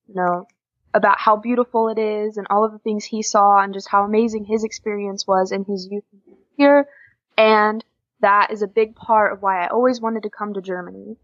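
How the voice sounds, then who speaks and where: An eighteen-year-old American woman talks casually as a guest in an interview. Her voice is of average pitch for a woman. The sound is slightly muffled and lo-fi. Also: fairly bright tone. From Oklahoma, United States. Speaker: interview guest, exchange student. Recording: dull lo-fi podcast audio.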